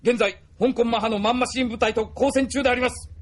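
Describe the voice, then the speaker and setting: A man's voice: very high-pitched, steady tone, fast talker. An actor playing a formal, dutiful male soldier giving a report in an anime audio drama. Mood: formal, urgent.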